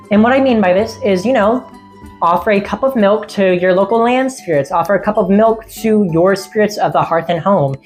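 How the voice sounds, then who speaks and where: A woman casually vlogging about seasonal witchcraft in a friendly, rambling way, her voice getting a little raspy. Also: medium pitch, darkish tone. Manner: rambling, friendly, laughs often. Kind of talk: casual chatty vlog.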